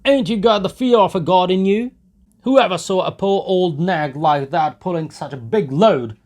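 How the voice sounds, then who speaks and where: A man voicing rowdy village onlookers, shouting in agitation in a dramatic audiobook reading. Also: high voice, moderate pace, pitched above the performer's own voice, natural voice. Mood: indignant. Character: rowdy, jeering and scolding village crowd onlookers. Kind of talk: dramatic audiobook character voices. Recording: noisy home recording.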